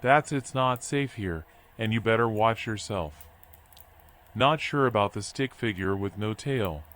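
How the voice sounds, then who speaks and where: An actor playing a washed-up animal drifter in a noir video game, folksy, rambling and resigned. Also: male voice, fairly low pitch, unhurried, fairly bright tone. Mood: wry, resigned. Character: washed-up male drifter animal, philosophical, wry, weary. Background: music.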